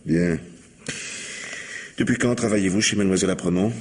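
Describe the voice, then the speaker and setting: An actor playing a sceptical, insistent police investigator questioning a witness in an old French radio mystery. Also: male voice, deep voice, a little fast, slight background noise, lightly acted. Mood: brisk questioning. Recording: long hall-like reverb.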